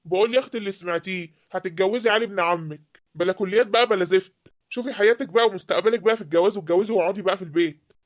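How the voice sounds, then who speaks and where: A woman's voice: mid-range voice, animated, rapid-fire, darkish tone, close to an everyday voice. A woman playing a harsh, bossy Egyptian father. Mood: domineering. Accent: Egyptian Arabic. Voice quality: harsh, commanding, dismissive. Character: stern domineering Egyptian father, middle-aged man. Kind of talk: dramatized family scene.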